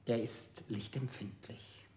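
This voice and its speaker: A man's voice: mid-range voice, fairly even intonation, slow pace, warm tone, reverberant, close to an everyday voice. An actor playing a hard-line male interrogator in a radio drama, curt and accusing.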